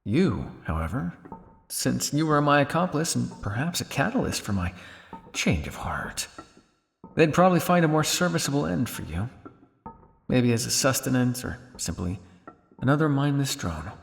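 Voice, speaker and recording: Male actor as world-weary vampire scientist, ASMR roleplay, gravelly fry, measured formal phrasing, long reverberant hall, music underneath. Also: mid-range voice, very animated. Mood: grim, uneasy. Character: weary, guilt-ridden centuries-old male vampire scientist on the run. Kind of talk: ASMR roleplay acting, addressing the listener.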